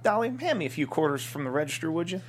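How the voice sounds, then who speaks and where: A man acting a gruff, stubborn small-town dad, noisy recording. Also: on the high side, big pitch swings, rapid-fire. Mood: stern. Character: gruff middle-aged father, small-town bar owner, stubborn. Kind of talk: table read of a comedy script.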